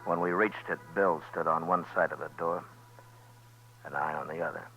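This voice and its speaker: An American actor playing a steady, authoritative frontier marshal who also narrates a 1950s radio western. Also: male voice, a bass voice, normal speed. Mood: terse narration. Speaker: lead actor and first-person narrator. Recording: dull old radio recording, music.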